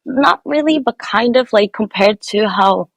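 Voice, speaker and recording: A woman's voice: fairly high pitch, expressive, measured pace, gravelly, no room sound. A young woman from Nepal, a guest engineer, answering interview questions casually with many fillers and restarts.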